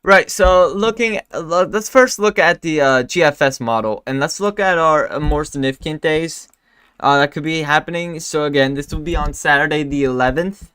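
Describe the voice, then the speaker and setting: Bright, clear-voiced man hosting a weather stream. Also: on the high side, no room sound. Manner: halting, many fillers and restarts. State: recovering from losing his voice. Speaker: weather livestream host. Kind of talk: casual live forecast discussion.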